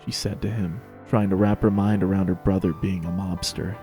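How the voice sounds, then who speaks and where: A man's voice: low voice, steady tone, a little fast, warm tone, faint music behind. A man narrating a dramatic reading of fan fiction.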